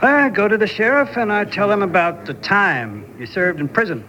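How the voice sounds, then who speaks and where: Bright-voiced, cocky American blackmailer. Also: male voice, high-pitched, lively intonation, normal speed, low-quality recording. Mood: smug, menacing. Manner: insinuating, folksy. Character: cocky drifting male ex-convict blackmailer. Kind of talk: radio drama acting.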